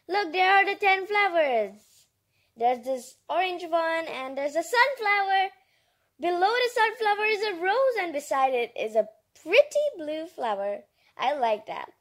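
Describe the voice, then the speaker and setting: Female, very high voice, unhurried pace, childlike and enthusiastic, reads picture books aloud with chatty asides. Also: very animated. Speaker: storybook reader and host.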